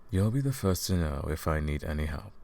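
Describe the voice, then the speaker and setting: A man's voice: a bass voice, lively intonation, a little fast, vocal fry, darkish tone, full character voice. A man playing a falsely sympathetic, condescending royal advisor in a fantasy audio roleplay, speaking to the listener in a courtly, patronizing, theatrical way. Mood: soothing, patronizing.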